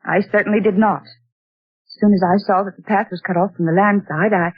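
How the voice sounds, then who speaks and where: An actress playing a genteel woman recounting a ghostly night in 1940s radio drama style, theatrical and breathy. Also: medium pitch, fairly even intonation, fairly quick, natural voice. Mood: storytelling. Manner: dramatic, exclaiming. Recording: tinny old radio broadcast.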